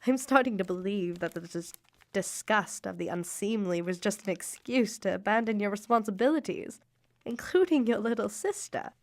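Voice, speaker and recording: An actress playing a wounded, reproachful young Victorian mother in a radio drama, reading letters in formal, measured, emotive diction. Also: mid-range voice, no echo, close to an everyday voice. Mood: bitter, hurt.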